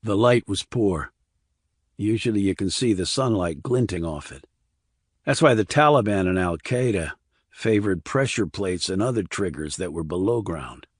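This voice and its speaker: A man narrates a thriller audiobook in a fairly low voice. The recording is dry, with very little room sound, and the delivery is scripted storytelling. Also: lively intonation, moderate pace, slightly rough, dry recording.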